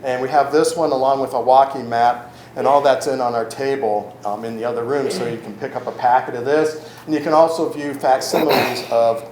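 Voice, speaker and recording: A man giving an informal public talk as a records manager, loud-voiced, with many ums and self-corrections, speaking without a microphone in an event room. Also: medium pitch, fairly even intonation, a little fast, hardly any pauses. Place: event room in a historic house. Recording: roomy echo with faint ambient noise.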